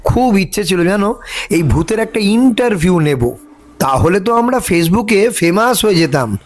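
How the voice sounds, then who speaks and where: A playful young college student in a cartoon, enthusiastic and curious. His voice is high and very animated, with light music underneath. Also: normal speed. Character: eager, playful college boy from the city. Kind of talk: cartoon voice acting.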